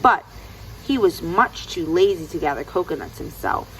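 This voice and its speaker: Woman, children's storybook reader, expressive narration, very lively intonation, medium pitch, noticeable background noise. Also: moderate pace, rough recording.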